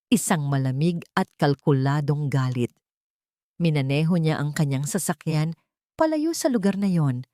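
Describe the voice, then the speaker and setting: Woman storyteller doing drama narration, low voice, dry close sound. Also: no echo.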